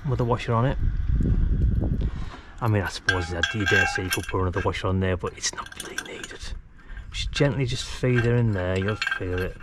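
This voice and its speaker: Low-voiced British man over background noise. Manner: informal, colloquial, talking while working. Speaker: DIY vlogger, radio hobbyist. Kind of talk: casual hands-on tutorial chat. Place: outdoors at a house's brick wall. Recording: clear background noise, outdoor work ambience.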